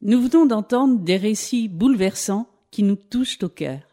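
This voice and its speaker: A woman's voice: mid-range voice, animated, normal speed, warm tone, faint music behind. A woman narrator delivering scripted, reflective commentary.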